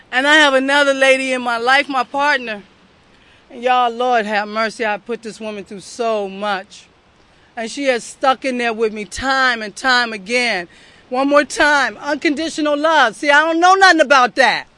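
Young woman from the southern US, recovery speaker, earnest testimony, very high pitched. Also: pushed and bright. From southern United States. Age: young adult. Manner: heartfelt and earnest, speaks straight to the crowd. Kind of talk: personal testimony to an audience.

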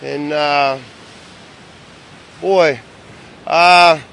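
A man's voice: on the high side, steady tone, extremely slow, gravelly, a bit bright, rough recording. A man from Pittsburgh speaking at a recovery convention in a chatty, folksy way to the audience. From Pittsburgh, United States. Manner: chatty and folksy, many "boy" and "uh" fillers.

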